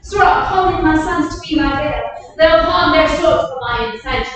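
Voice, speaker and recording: A woman playing a proud, defiant duke in a staged Shakespeare reading, declaiming with deliberate, theatrical indignation. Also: high-pitched, a bit bright. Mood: indignant. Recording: echoey, distant, noisy archival room recording.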